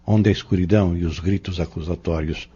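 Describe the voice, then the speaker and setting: An actor playing a patient spiritist mentor in an audio drama, with a Brazilian accent and a formal, measured, preacherly delivery. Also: male voice, low voice, steady tone, dark tone, breathy edge. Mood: solemn, explanatory. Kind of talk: audio drama acting, didactic monologue. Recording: dull lo-fi audio.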